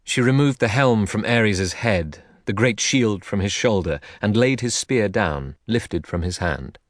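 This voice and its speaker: A man's voice: lowish voice, animated, unhurried, no echo, studio quality. A man narrating epic verse as a dramatic literary audiobook.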